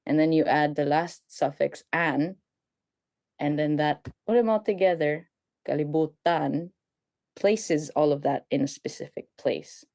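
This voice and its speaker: A woman from the Philippines, a guest on a radio talk show, telling stories in an animated, casual way and laughing as she goes. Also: low-pitched, a little slow, no echo.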